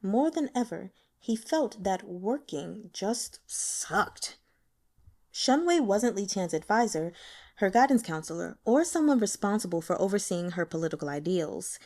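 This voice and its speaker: A woman reading a novel aloud as an audiobook narrator, with expressive, dramatic storytelling. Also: medium pitch, measured pace. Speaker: audiobook reader.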